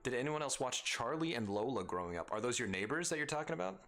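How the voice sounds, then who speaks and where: A man's voice: medium pitch, sing-song, fast, a bit bright. A man with an Arizona accent hosting a live stream, chatting casually with viewers in a playful, teasing, rambling way.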